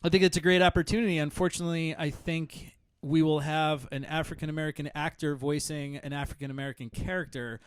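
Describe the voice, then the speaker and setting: A man's voice: a little high, fairly even intonation, fast talker, hardly any pauses, clear, resonant tone, dry recording. A man in his thirties from Connecticut hosting a casual home-studio podcast, rambling and self-deprecating with lots of fillers. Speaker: podcast host. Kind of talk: casual chat.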